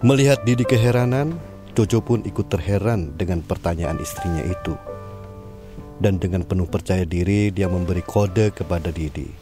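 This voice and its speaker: Male storyteller for an audio drama, deep and measured, music under the voice. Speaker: narrator. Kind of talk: scripted drama narration.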